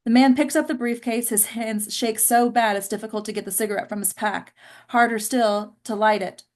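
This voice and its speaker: A woman's voice: a little high, fairly even intonation, moderate pace. Woman narrating screenplay stage directions. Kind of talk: scripted narration, screenplay reading.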